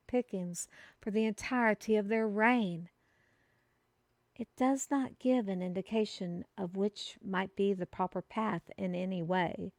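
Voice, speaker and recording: A woman's voice: mid-range voice, a little mellow, dry recording, clean studio sound, close to an everyday voice. A woman playing an earnest, easily offended messenger who reads out a prophecy in a theatrical, solemn fantasy audio roleplay. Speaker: voice actor in solo audio roleplay. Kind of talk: theatrical one-sided audio roleplay for a listener.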